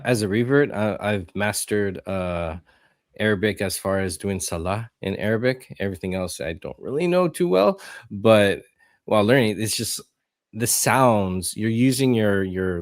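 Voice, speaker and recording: Low-voiced easygoing podcast host. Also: male voice, no room sound, studio quality. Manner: relaxed, easygoing questions with fillers. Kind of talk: casual interview chat.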